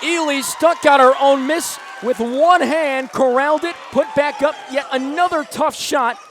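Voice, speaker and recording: A man doing live radio play-by-play of a basketball game from an arena, projecting his calls and getting animated on big plays. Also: very high voice, measured pace, crowd noise. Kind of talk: live sports commentary. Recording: noisy live arena broadcast, bouncing balls.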